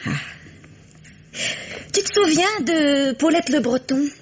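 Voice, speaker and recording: A woman's voice: sits high, animated, slow. An actress playing a hesitant, emotional mistress in her thirties, clinging and pleading, in a French vintage radio melodrama. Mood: hesitant, coaxing. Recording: dull vintage radio recording.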